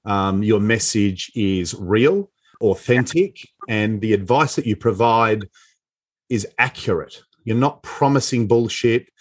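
A man's voice: fairly low pitch, takes it slowly. A man hosting an interview podcast, introducing his guest with enthusiasm and talking bluntly, with a bit of casual swearing. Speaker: podcast host.

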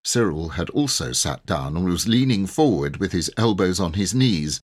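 A man reading a children's story aloud as an expressive, scripted narration. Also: deep voice, moderate pace, slightly rough. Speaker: audiobook reader.

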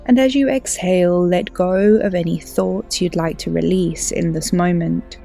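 Slow, mellow woman guiding relaxation. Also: fairly low pitch, steady tone, gravelly, faint music behind. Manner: soothing, unhurried, gently instructing. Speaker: yoga teacher and podcast host. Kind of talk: guided relaxation meditation narration.

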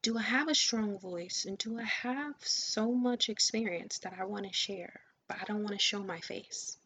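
A woman's voice: medium pitch, normal speed, fairly bright tone, husky. A woman podcast host and coach giving an earnest, encouraging motivational talk, often dropping her voice to a near whisper. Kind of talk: motivational monologue. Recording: dull lo-fi sound with faint ambient noise.

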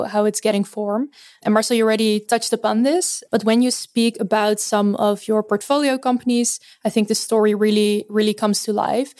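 A woman's voice: mid-range voice, darkish tone, no echo, clean studio sound. A woman co-hosting an interview, putting questions in a conversational way. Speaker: interviewer, co-host.

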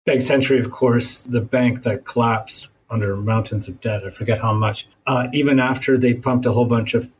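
A man's voice: medium pitch, steady tone, moderate pace, hardly any pauses, slight background noise. A man hosting a conversational interview in an informal, self-deprecating manner. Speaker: podcast host, interviewer.

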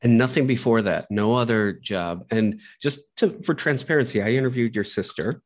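A man's voice: mid-range voice, moderate pace, no echo. A man hosting an interview podcast over a remote call, bantering with self-deprecating jokes. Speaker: podcast host.

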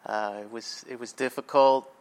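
A man from New Jersey in his thirties, answering interview questions in an easygoing, measured way. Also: mid-range voice, steady tone, clear, resonant tone. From New Jersey, USA. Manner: easygoing, measured, some hesitations. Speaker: guest.

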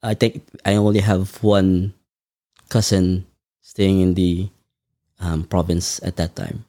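A man answers questions in a measured, thoughtful way, pausing often to gather his words. His voice is very deep and mellow. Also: level tone, no room sound, clean studio sound. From Philippines. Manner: measured, thoughtful, frequent ums. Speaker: podcast guest. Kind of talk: conversational interview.

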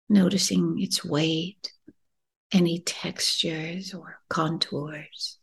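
Breathy, slow-paced woman guiding meditation. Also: lowish voice. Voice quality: breathy, often near whisper. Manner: gentle, soothing, unhurried with long pauses. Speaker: podcast host and meditation guide. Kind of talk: gentle guided meditation.

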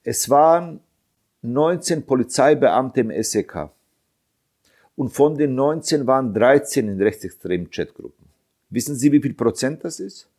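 A man in his fifties from Germany speaks in an interview with indignant force. His pitch sits in the middle of the range for a man. He hammers his points home by repeating them and throwing out sharp rhetorical questions. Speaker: interviewee, bereaved father. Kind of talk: impassioned interview testimony.

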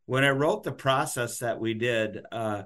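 A man's voice: mid-range voice, a little slow, a bit bright. A man hosting a podcast interview, talking in a loose, rambling, unscripted way. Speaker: podcast host. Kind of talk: casual interview chat.

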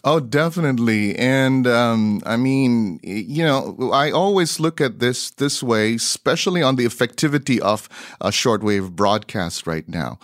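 A middle-aged man with a Filipino accent telling stories as an interview guest, conversationally and a little haltingly as he searches for words. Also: medium pitch, expressive, dry recording, clean studio sound. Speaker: guest missionary broadcaster.

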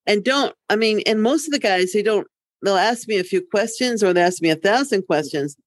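A woman's voice: mid-range voice, expressive, brisk, no echo. A middle-aged woman podcast co-host chatting casually in a candid, rambling way. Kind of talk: casual chat.

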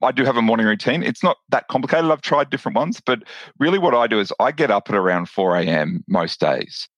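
A man's voice: medium pitch, lively intonation, fast, a bit bright, no echo. An Australian man, a lawyer and business owner, talking as a podcast guest with enthusiasm, often restarting and repeating words. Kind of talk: casual interview chat.

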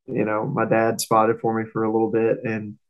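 A man's voice: fairly low pitch, monotone, moderate pace, dull audio. A young man with a Southern US accent, talking casually and hesitantly as a guest in an interview. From southern United States. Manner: rambling, hesitant, informal. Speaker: race car driver and interview guest. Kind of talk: casual interview talk, recounting career.

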